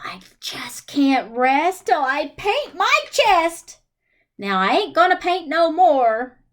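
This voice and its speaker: A woman with a high voice leads storytime as a children's librarian. Her delivery swings widely in pitch and takes its time, with a singsong lilt and questions posed to young listeners. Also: fairly bright tone. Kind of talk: children's storytime read-aloud and chat.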